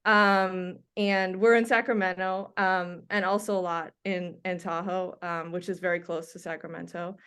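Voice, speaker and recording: A woman's voice: lowish voice, level tone, normal speed, few pauses, fairly bright tone, clear, resonant tone. A middle-aged woman from Denmark, a doctor and researcher, talking through her background as an interview guest, with frequent ums and restarts. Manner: chatty, many ums and restarts. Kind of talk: conversational interview.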